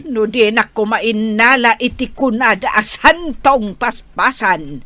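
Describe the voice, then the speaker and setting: A woman's voice: fairly high pitch, animated, slow, pushed and bright, dry recording, heavily acted. A woman playing a scolding, suspicious elderly mother and wife in a radio drama.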